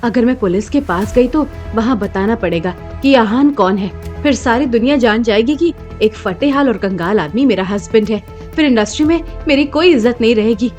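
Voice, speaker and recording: A fairly high-pitched actress as a young businesswoman in an audio drama, anxious and conscious of her standing. Her delivery is dramatic and expressive, over faint music. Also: moderate pace, lo-fi sound, lightly acted. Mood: worried. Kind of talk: Hindi audio drama acting. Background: background music.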